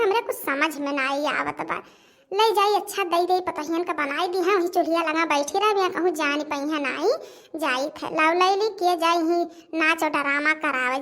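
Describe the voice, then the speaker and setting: A performer voicing a grumbling old village mother-in-law in a cartoon, with an Awadhi accent and a complaining, drawn-out delivery. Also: very high voice, fairly bright tone. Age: older. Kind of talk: homemade cartoon dialogue in rural dialect.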